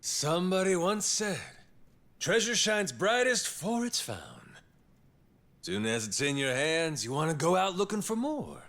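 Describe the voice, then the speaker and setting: An actor playing a brash, theatrical middle-aged yakuza boss in an English game dub, with a gravelly, swaggering delivery. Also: male voice, on the high side, wildly swooping pitch, unhurried, a bit bright, heavily acted. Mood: reflective. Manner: swaggering, teasing, swooping delivery. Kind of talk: English-dubbed video game cutscene acting.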